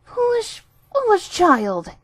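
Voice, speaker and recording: A woman's voice: on the high side, normal speed, mellow, husky, dry recording, studio quality, pitched above the performer's own voice. A woman voicing an old, worried mother pig. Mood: pleading. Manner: earnest and warning. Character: old, feeble mother pig, anxious and fond.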